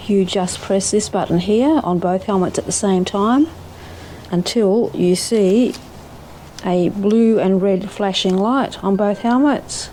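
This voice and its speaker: Female vlogger, mid-pitched mellow voice, casual demonstrator, explains things plainly. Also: takes it slowly, slight background noise, poor audio. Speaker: presenter of a recumbent trike vlog. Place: outdoors.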